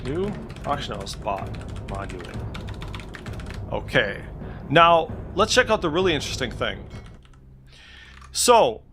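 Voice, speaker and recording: A man's voice: medium pitch, very animated, slow pace, pauses often, bright, forceful tone, slight background noise. A man giving an informal, unscripted tech walkthrough, hesitant and thinking aloud, with the odd mild swear. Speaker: tech video creator. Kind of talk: casual unscripted screen-share walkthrough. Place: indoors at a computer.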